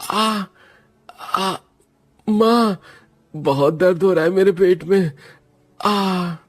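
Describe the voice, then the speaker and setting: Man acting out a whiny groaning young man, strained high voice. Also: exaggerated voice. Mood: pained, whining.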